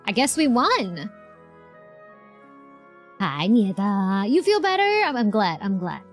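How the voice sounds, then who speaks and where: A woman's voice: medium pitch, big pitch swings, slow. A woman streamer giving casual, informal live reaction commentary in a chatty way. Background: game music.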